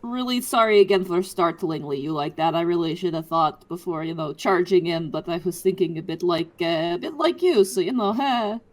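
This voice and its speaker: A woman's voice: fairly low pitch, lively intonation, normal speed, few pauses. A woman playing a tabletop role-playing game, casually describing actions and speaking in character.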